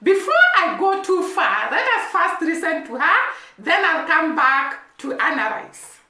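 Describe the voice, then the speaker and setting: A woman with a very high, lively voice, speaking slowly and earnestly as she comments on a clip. Her tone is bright, and the recording carries a noticeable room echo. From Kenya. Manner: slow, earnest, explanatory. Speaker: reaction video host.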